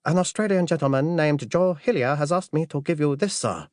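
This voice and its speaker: Audiobook butler, played by a man: small, high-voiced, very polite, formal, guarded. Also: fairly quick, darkish tone, dry recording, clean studio sound, natural voice. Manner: deferential, formal.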